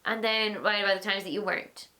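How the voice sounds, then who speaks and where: A young Irish woman in her twenties, hosting a vlog and answering viewer questions in a frank, animated, chatty way. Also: medium pitch, fast talker, poor audio. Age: 20s. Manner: animated, acts out little voices, frank and chatty. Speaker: vlogger, host of an advice series.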